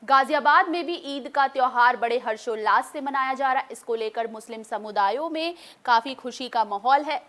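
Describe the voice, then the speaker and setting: Woman news anchor, kinda high voice, formal scripted bulletin read. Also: moderate pace, a bit bright.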